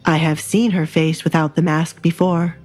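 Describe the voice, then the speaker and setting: A woman's voice: sits low, level tone, moderate pace, a little mellow, soft background music, lightly acted. A woman voicing a cold, commanding male duke in a romance audio drama, stern and controlled. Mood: calm.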